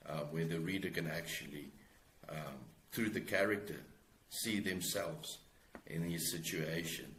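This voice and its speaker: A man in his forties with a South African accent, talking reflectively as an interview guest in a hesitant, halting way. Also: extremely low pitch, fairly bright tone, reverberant, slight background noise. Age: 40s. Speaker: guest author.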